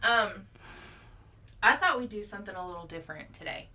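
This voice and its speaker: A woman's voice: medium pitch, expressive, fairly quick, sharp tone. A woman co-hosting a podcast in casual, bantering chat, with a teasing, exasperated manner. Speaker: podcast co-host.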